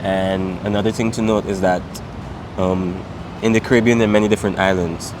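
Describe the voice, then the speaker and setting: A young man with a fairly low voice talks in a loose, unhurried way, full of fillers. He is recorded outdoors on a city street. Street and traffic noise is clearly heard behind him. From Saint Vincent and the Grenadines. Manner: relaxed, many fillers like "um" and "like". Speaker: interviewee. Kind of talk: casual street interview.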